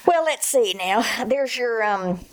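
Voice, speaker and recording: An actress playing a deferential but wry, indulgent maid in an old-time radio comedy, with knowing, exaggerated delivery. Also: female voice, a little high, lively intonation, slow.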